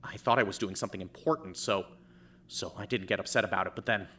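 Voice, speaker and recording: A man plays a shaken teenage boy who halts and stammers, tripping over his own words. The voice sits low and bright. It is a fan audio drama performance. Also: steady tone, slight background noise. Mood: ashamed, halting. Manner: halting, stammering, repeats words.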